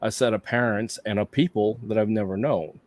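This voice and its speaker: Laid-back low-voiced man hosting a podcast. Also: fairly even intonation, brisk, a little mellow, no room sound. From Hawaii. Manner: laid-back, reflective, talks with slang and repeats. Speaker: podcast host. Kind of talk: casual podcast conversation.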